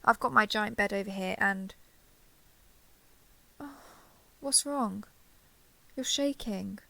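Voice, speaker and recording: A young woman playing a caring giant dragon girl in an intimate audio roleplay, speaking to the listener in a gentle, reassuring, slightly hesitant way. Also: mid-range voice, takes it slowly, creaky, full character voice. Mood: tender, caring.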